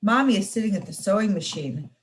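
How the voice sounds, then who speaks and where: A young woman student reading a children's picture book aloud in an expressive, sing-song way. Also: medium pitch, quick pace. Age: young adult. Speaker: student reader.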